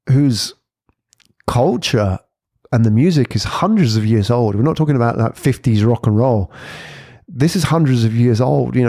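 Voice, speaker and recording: A man's voice: mid-range voice, a little mellow, no echo, clean studio sound. A man in his forties talking in a casual, conversational podcast monologue, reflective and fond of rhetorical questions and tags. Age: 40s. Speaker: podcast host.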